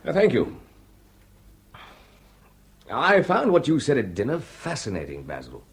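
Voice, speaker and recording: Courteous guest, curious and polite. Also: male voice, medium pitch, huge pitch swings, a little slow. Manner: polite, inquisitive. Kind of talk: radio drama acting.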